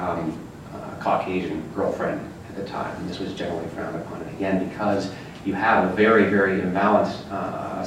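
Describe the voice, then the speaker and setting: A man with a very low voice answers a panel question, stopping often with "um" and "uh". He sounds distant, caught from across a big echoey hall. A steady noise sits behind him. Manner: hesitant, many fillers. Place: large echoey lecture room. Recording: echoey room from far off with noise.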